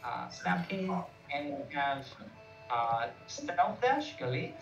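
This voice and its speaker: A man's voice: a little high, big pitch swings, takes it slowly, vocal fry, dull audio. A man casually presenting a live online showcase as its host, speaking informally and hesitantly and putting questions to guests. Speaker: host of a game jam showcase. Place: online video call. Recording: roomy, distant, band-limited call over music.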